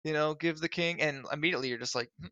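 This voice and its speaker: Excitable male co-host, punchy bright tone, loud outbursts when quoting, dry recording. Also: fairly high pitch, rapid-fire, no echo, muffled recording. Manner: excitable, acts out quotes with big swings in loudness. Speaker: podcast co-host. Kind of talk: casual chat.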